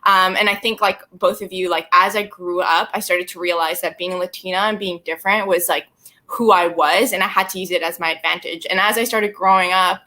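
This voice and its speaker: A woman's voice: on the low side, fairly even intonation, a little fast, pushed and bright. A woman from Colombia, raised in Canada, speaking reflectively as a panelist. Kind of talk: casual panel talk.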